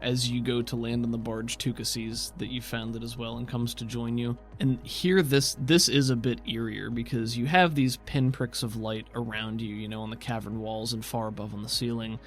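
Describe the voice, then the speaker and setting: A man's voice: medium pitch, fairly even intonation, moderate pace, few pauses. A man narrating a tabletop roleplaying game as game master, mixing descriptive scene-setting with casual asides. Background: background music.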